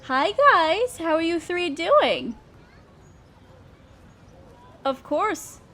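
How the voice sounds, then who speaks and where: A woman's voice: very high voice, sing-song, very slow, bright, forceful tone, exaggerated voice. A woman playing an affectionate, reassuring girlfriend in a roleplay audio, speaking sweetly and tenderly to a partner. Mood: cheerful, excited. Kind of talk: roleplay audio acting, addressing the listener as partner. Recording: noisy park and fireworks effects. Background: park sounds, fireworks.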